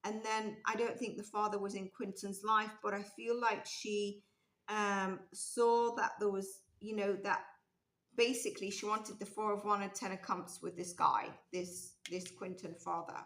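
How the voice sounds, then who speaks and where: A woman's voice: mid-range voice, little pitch movement, a little slow, a bit bright. A woman giving a casual tarot reading, musing aloud with many ums and restarts, her voice a little strained. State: losing her voice. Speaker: tarot reader. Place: indoors at a table with candle and cards. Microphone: overhead camera, hands only. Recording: roomy echo.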